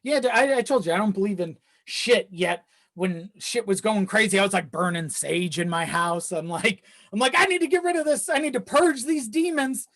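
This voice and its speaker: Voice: medium pitch, moderate pace, few pauses, fairly bright tone. A podcast guest chatting casually in an informal, sweary and self-deprecating way. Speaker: video editor.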